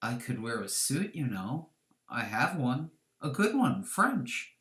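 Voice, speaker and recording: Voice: medium pitch, big pitch swings, slow, a bit bright. Narrator playing an exasperated, stubborn old man, echoey. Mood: dismissive. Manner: casual, exasperated, protesting. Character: stubborn, irritable old man who looks young. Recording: roomy, echoing sound.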